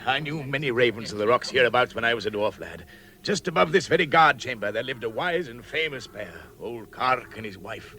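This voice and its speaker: Bright-voiced, animated old dwarf. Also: male voice, medium pitch, a little fast. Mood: nostalgic. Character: proud old dwarf, wondering and nostalgic. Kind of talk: vintage radio drama acting.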